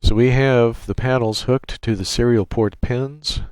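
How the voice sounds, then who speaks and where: A man narrating an unscripted software tutorial, explaining each step in a matter-of-fact way. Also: mid-range voice, fairly even intonation, unhurried, smooth, no room sound. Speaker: tutorial presenter. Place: indoors at a computer, screen-recording voice-over.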